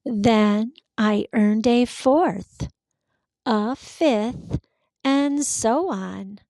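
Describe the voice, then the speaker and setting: A woman's voice: fairly high pitch, very slow, mellow, no echo. A woman voicing a friendly, encouraging big train in a children's storybook, in a hearty, reassuring manner. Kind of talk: children's storybook read-aloud.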